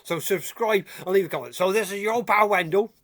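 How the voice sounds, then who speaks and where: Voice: mid-range voice, fairly quick, fairly bright tone, natural voice. A performer playing a chatty old village man, who rambles, repeats himself and chuckles as he presents a comic show. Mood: cheery. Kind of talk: comic character monologue.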